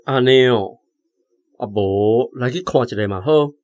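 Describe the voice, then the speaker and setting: A man's voice: mid-range voice, measured pace, a little mellow, no echo, highs cut off, natural voice. Man acting plain young Taiwanese farmer, dry sound. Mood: curious, tentative. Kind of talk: radio drama acting.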